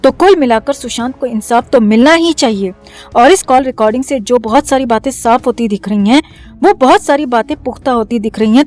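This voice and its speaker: A woman's voice: fairly high pitch, darkish tone. A woman reading a scripted news commentary as a voice-over narrator. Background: background music.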